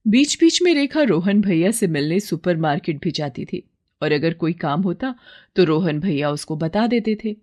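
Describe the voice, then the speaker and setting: A woman's voice: fairly low pitch, a little mellow. Woman narrating a children's moral story.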